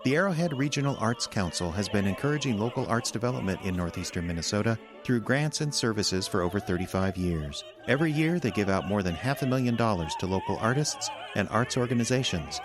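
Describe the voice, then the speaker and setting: A male radio announcer with a low voice reads scripted continuity and sponsor copy. Music plays clearly behind him. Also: expressive, fairly quick, hardly any pauses.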